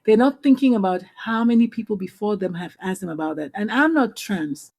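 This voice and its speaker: A middle-aged woman with a Nigerian accent talks through her experiences as a guest on a podcast. Her voice is mellow and warm, and her delivery is earnest and animated, with quick restarts when she collects her thoughts. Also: mid-range voice. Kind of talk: conversational interview.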